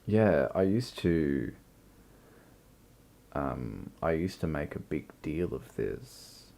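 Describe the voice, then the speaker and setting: A man with a croaky voice, hosting a livestream and musing slowly through a philosophical chat that builds into long lists. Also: very deep voice, big pitch swings, mellow. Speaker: livestream host.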